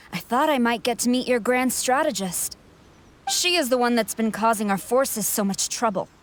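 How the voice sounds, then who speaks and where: An actress playing a haughty, coldly confident army commander in a video game, speaking in a measured, imperious and condescending way. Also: female voice, fairly high pitch, expressive, a little hiss or hum. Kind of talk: dramatic video game voice acting.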